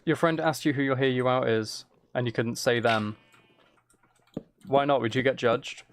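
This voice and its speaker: A man's voice: medium pitch, fairly even intonation, normal speed, slight background noise. A man hosting a casual game livestream, muttering to himself as he plays, repeating phrases and chatting with viewers. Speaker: livestream host. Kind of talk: casual game stream chat, reading and answering viewer messages.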